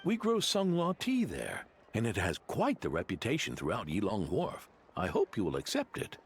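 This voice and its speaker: A man's voice: fairly low pitch, huge pitch swings, normal speed, slightly rough, heavily acted. An actor playing a genial, devout old grandfather who runs a guest house, speaking in a hearty, courteous way in a video game. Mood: warm, welcoming. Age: older. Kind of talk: video game character dialogue.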